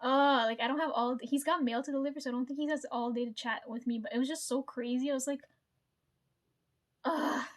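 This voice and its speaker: A woman talks straight to her viewers in a high, bright register, winding through a story in loose casual chat. She swears freely, laughs often and keeps checking in with her audience. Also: steady tone, fairly quick. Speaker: vlogger.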